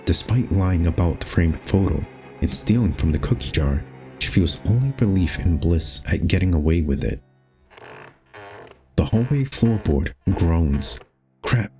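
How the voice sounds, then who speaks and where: Deep-voiced man narrating a spooky story. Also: darkish tone. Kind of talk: scripted storybook-style horror game narration.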